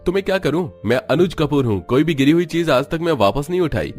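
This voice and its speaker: A man's voice: fairly high pitch, expressive, fairly quick, faint music behind, voice pushed up, lightly acted. A man voicing a proud, blunt but devoted rich young man in a cartoon story. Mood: haughty.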